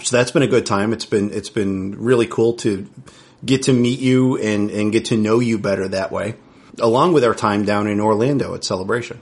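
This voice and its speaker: A man's voice: fairly low pitch, moderate pace. Chatty man hosting a podcast interview. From Central New York. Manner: friendly, repeats words when starting sentences. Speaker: podcast host. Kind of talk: casual interview chat.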